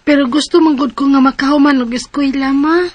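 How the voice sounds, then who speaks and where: A woman's voice: sits high, steady tone, fairly quick, a little mellow, dry recording, dull audio, natural voice. An actress playing an earnest fifteen-year-old girl who pleads, in a radio drama. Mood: pleading. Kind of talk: radio drama acting and narration. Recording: radio drama with music behind.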